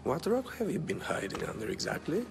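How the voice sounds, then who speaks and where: A man playing a smooth-talking, calculating rich patron in a video game cutscene, measured and coolly condescending. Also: fairly high pitch, huge pitch swings, exaggerated voice. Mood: coolly amused. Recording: long reverb, background music.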